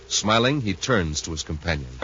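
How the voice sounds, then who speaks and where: A man's voice: sits low, animated, moderate pace, bright, forceful tone. An American man announcing and narrating in an emphatic, punchy 1950s radio style. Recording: muffled, faintly noisy 1950s radio recording.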